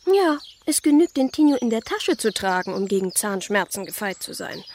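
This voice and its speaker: A woman's voice: mid-range voice, sing-song, moderate pace, darkish tone, a little hiss or hum. An actress playing a down-to-earth village wife and mother in a German radio play, speaking in a homely, insistent way. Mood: insistent, hurt.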